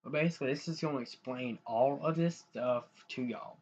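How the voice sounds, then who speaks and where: A man's voice: medium pitch, fairly quick, darkish tone. A young man from the United States chatting casually to viewers while sharing his screen, rambling and restarting often. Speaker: YouTuber. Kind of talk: casual screen-share vlog talk. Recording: dull lo-fi mic with faint noise.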